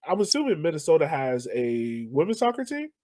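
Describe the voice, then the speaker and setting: A man's voice: on the high side, wildly swooping pitch, fairly quick, mellow, no echo. A man hosting a podcast in an informal, conversational way. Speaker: podcast host. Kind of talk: casual interview chat.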